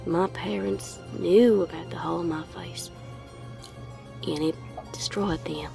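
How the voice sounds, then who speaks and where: A woman plays a haunted young female pony telling a horror story in the first person. The voice is low and full of gravelly creak, with brooding, secretive, folksy phrasing. Faint music runs underneath. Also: fairly even intonation, measured pace, rough recording. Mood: haunted confession.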